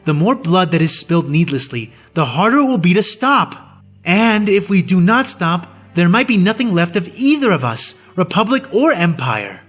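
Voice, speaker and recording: A man voicing a sincere young woman knight who pleads quickly and earnestly in an audiobook. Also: high voice, sing-song, a bit bright, soft background music, full character voice. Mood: pleading. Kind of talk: audiobook reading.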